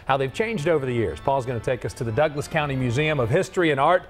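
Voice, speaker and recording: A man's voice: medium pitch, expressive, fast, faint music behind. A man anchoring a morning TV show from a studio, with a teasing, joking way of talking. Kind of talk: studio anchor banter and introductions. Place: TV news studio.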